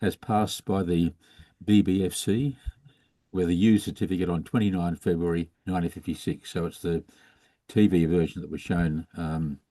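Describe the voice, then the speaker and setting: A man moderating an online discussion, hesitant and halting as he relays audience messages. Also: low voice, fairly even intonation, mellow, dry recording. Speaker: webinar moderator.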